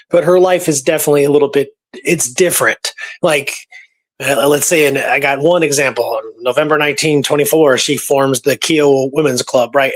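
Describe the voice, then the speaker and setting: A man hosting a podcast, talking casually about history in an informal, explanatory way and repeating points for emphasis. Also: mid-range voice. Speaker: podcast host. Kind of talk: casual conversational history talk.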